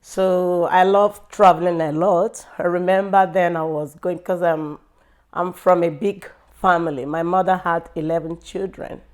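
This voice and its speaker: A middle-aged woman from Nigeria with a Nigerian accent, speaking slowly and thoughtfully in an interview about her life, with frequent pauses and fillers. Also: fairly low pitch, fairly even intonation, a little mellow. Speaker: interview guest. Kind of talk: reflective personal interview.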